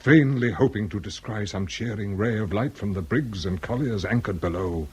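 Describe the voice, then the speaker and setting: A man's voice: lowish voice, normal speed, a little hiss or hum, natural voice. A man playing a grave lord in his sixties, speaking formally and ornately in a period radio drama. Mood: ominous. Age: 60s. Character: grave aristocratic lord past sixty, confessing a dark secret.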